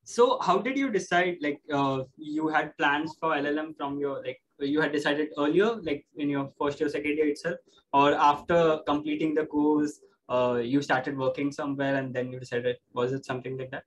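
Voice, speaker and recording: A man interviewing a guest, his voice a little higher than most men's. He strings his questions together haltingly with plenty of fillers, and a faint hiss of noise sits under the recording. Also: fairly even intonation, rough recording.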